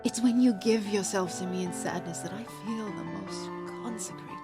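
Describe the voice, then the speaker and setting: A woman's voice: mid-range voice, moderate pace, loud music behind the voice, lightly acted. A woman playing a melancholy, possessive lover in an intimate audio drama, speaking in an intense, poetic, confessional way. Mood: melancholy, fervent. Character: melancholy, possessive heartbroken lover, an actor by trade.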